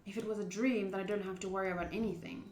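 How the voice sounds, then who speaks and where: A woman's voice: medium pitch, fairly even intonation, rapid-fire, darkish tone, slight background noise. A young woman streamer reading a visual novel aloud and chatting informally and expressively with viewers. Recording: roomy echo.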